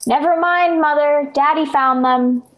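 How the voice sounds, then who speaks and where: A woman's voice: high voice, a little slow, highs cut off, a little hiss or hum. A woman playing a dramatic, cheeky little schoolgirl daughter in a 1940s radio sitcom. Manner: whiny, overdramatic.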